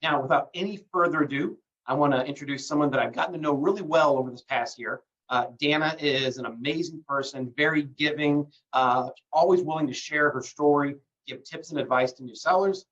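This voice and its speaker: A man's voice: a little high, a little fast, few pauses. Distant, muffled man hosting a call. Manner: relaxed, many fillers, promotional. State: recovering from bronchitis. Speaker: host.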